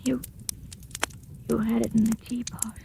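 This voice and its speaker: An actress playing a frightened but defiant young captive in old radio suspense style, earnest and formal. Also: female voice, on the high side, level tone, a little slow, vocal fry, warm tone. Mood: weak, desperate. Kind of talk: 1940s radio suspense acting. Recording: noisy old-time radio recording.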